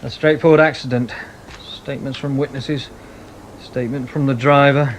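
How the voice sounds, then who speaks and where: Actor doing british cop sergeant, radio drama, plain spoken, bit sarky, medium pitch, faint noise. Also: male voice, steady tone, normal speed, natural voice. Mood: matter-of-fact. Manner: matter-of-fact, sardonic. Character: matter-of-fact, grumbling male police sergeant.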